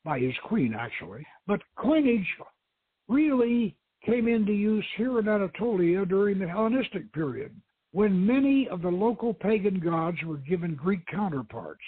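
A man's voice: high-pitched, big pitch swings, moderate pace, slightly rough, voice pushed up, heavily acted. A man voicing a polite, eager young female museum guide with a formal, explanatory manner. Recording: tinny band-limited radio stream, roomy echo.

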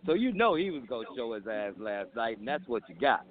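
A man's voice: mid-range voice, fairly quick. A man co-hosting a sports talk show, arguing heatedly and talking over the others. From Arizona. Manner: animated, talks over others. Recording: phone-line audio.

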